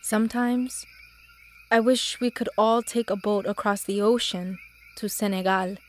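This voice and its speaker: A woman's voice: medium pitch, fairly even intonation, a little slow, natural voice. A woman playing a wistful, loving young sister in an audio drama, tender and slipping into Spanish.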